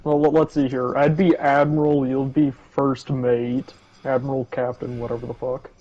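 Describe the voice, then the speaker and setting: Mellow, sweary male gamer, slightly dull audio. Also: mid-range voice, little pitch movement, normal speed. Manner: crude, sweary, bossy banter. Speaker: co-op gaming partner. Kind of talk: casual gaming chat.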